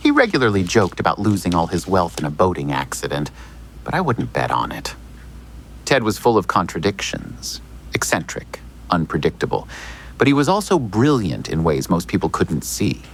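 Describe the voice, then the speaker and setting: An actor playing a smooth, evasive small-town lawyer in an audio drama, speaking in a measured, theatrical, hinting way. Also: male voice, deep voice, wildly swooping pitch, slight background noise, heavily acted, low-quality recording. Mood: cryptic, insinuating.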